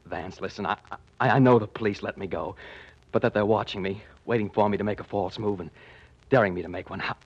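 Jumpy american murder suspect on 40s radio, raspy husky voice, stammery and pleading, muffled old tape. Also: male voice, on the low side, fairly quick. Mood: desperate, pleading. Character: nervous, desperate male murder suspect. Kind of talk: 1940s radio drama acting. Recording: muffled 1940s radio recording.

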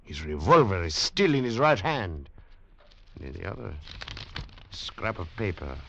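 An actor playing a grave Foreign Legion officer, solemn and dramatic, in an old-time radio drama. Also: male voice, low-pitched, sing-song, measured pace, gravelly, sharp tone, husky, dry recording, full character voice. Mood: grim, dramatic. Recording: dull vintage radio broadcast, music behind.

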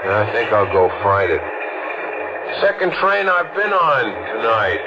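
An actor playing a chatty, eager young train passenger making folksy small talk, in 1940s radio acting style. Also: male voice, fairly low pitch, sing-song, measured pace. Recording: muffled noisy old radio recording.

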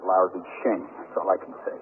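A man's voice: low-pitched, level tone, fairly quick, natural voice. A man playing a wounded young robbery suspect in a radio drama, complaining and defiant through his pain. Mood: sullen, defiant. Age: young adult. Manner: complaining, evasive, mouthy. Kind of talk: 1950s radio drama acting. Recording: muffled, noisy 1950s radio recording.

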